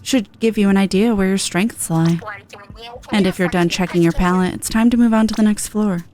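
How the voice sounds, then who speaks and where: A woman voicing a cool, laconic young guide from a video game, in a deadpan, measured manner. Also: mid-range voice, pitched below the performer's own voice, natural voice. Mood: calm, explaining. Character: cool, low-voiced laconic young guide, calm and dry. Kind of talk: character reading of video game dialogue.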